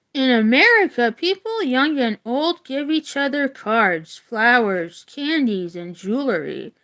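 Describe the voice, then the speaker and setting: A woman's voice: sits high, very animated, slow pace, a bit bright, low-quality recording. A woman reading a children's nonfiction book aloud like an educator, adding informal, casual asides.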